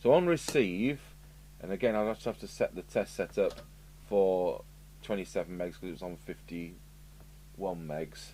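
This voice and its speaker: A man's voice: sits low, a little slow, mellow. A British man giving casual, matter-of-fact hands-on commentary as a repair technician, thinking aloud while he works. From United Kingdom. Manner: matter-of-fact, musing, talks to himself while working. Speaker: radio repair technician presenting a review. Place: indoor electronics workshop. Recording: clear background noise with electrical hum.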